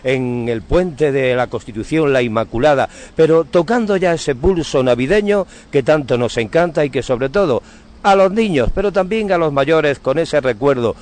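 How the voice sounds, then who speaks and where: A man's voice: on the high side, lively intonation, normal speed, darkish tone. A man hosting a conversational local radio interview, effusive and courteous, with florid phrasing. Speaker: radio host interviewing on the street.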